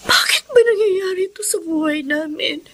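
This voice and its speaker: A very high, bright female voice plays a burdened elder sister. She is caring yet desperate, pleading with heavy emotion in Filipino radio drama style. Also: normal speed. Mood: despairing. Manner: emotional, tearful, pleading delivery.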